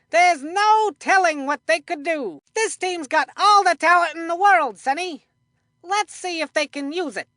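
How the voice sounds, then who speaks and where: A woman voicing an excitable, folksy cartoon baseball commentator for a children's video game, with colloquial, emphatic delivery. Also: very high voice, no room sound, studio quality.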